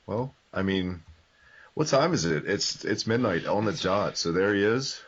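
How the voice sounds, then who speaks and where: A man with a slightly low voice hosts a loose, joking podcast, and he rambles and corrects himself as he goes. He is a little under the weather. The audio is dull and lo-fi. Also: expressive, unhurried, breathy edge. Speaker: podcast host. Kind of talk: casual podcast chat.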